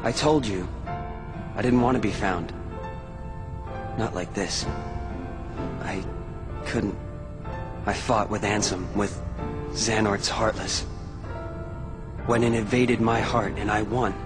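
A man's voice: fairly low pitch, fairly even intonation, slow pace, long pauses, loud music behind the voice. An actor playing a brooding, guarded young man in a video game, restrained and wry. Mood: ashamed, grave. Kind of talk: video game cutscene voice acting.

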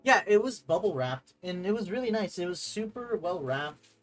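A young man chatting casually on a live stream at his desk, rambling and excitable, reading chat aloud and laughing often. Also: high voice, normal speed, faint music behind. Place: desk at home, overhead camera.

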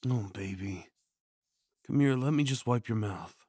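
A man plays a tender, worried boyfriend in an ASMR comfort roleplay. His voice is husky and hushed, and he takes his time with each soothing phrase. The recording is close and dry. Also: fairly low pitch, measured pace, no echo. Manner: hushed, soothing, breathy sighs and shushing. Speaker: ASMR audio roleplay performer.

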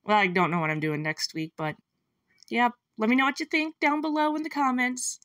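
A woman's voice: fairly high pitch, brisk, no echo, studio quality. A young woman with a congested, stuffy nose, rambling casually as she narrates her sketching for her art channel. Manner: rambling, self-deprecating, soft laughs. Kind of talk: casual voice-over while sketching.

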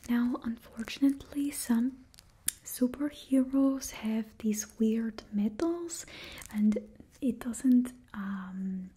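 A woman's voice: fairly high pitch, takes it slowly, mellow, a little hiss or hum. A young woman voicing a cheerfully ruthless stylist to supervillains, whispering close to the mic in a put-on Russian accent. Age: young adult. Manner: close whispering, drops little words. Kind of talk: whispered ASMR roleplay. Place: small indoor studio with backdrop. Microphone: close mic, face near camera.

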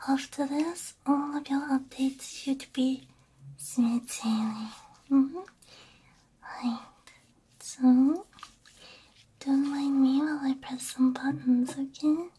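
A woman whispering softly in an ASMR roleplay, gentle and reassuring, speaking close into a binaural microphone. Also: high-pitched, steady tone, slow, long pauses. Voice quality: breathy, mostly whispered. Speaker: ASMR roleplay creator playing a robot repair technician.

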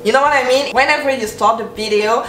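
A woman's voice: a little high, fast talker, a bit bright, soft background music. A young woman with a French accent, a native French speaker, giving encouraging advice to the camera in an upbeat talking-head vlog from a room with bookshelves. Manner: upbeat, encouraging, repetitive emphasis. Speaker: YouTuber. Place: bedroom with bookshelves, indoors. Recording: roomy sound, mic at a distance.

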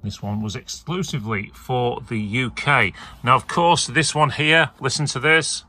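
A man talking through auction lots in a chatty, rambling way, full of little fillers and asides. His voice is bright and forward, sitting at an everyday middle pitch. He speaks close to a handheld phone indoors. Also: unhurried. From England. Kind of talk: casual video walkthrough. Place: indoors beside a leather armchair.

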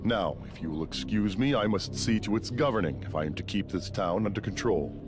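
Game cutscene role, male actor as strict guard commander, lowish pitch, formal authoritative delivery, score underneath. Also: expressive, a little fast, music in the background, natural voice. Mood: stern, formal. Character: stern, order-obsessed armored guardsman commander. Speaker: game character. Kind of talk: video game cutscene acting.

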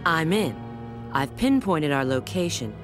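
A woman voicing a confident, sultry female bat spy in a video game, coy and unhurried. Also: sits low, sing-song. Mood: serious, surprised. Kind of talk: video game cutscene acting. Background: background music.

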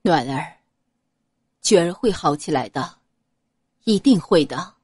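A woman voicing a tender, protective young nobleman who speaks low and soothingly. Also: expressive, takes it slowly, darkish tone, slightly rough, no echo, heavily acted.

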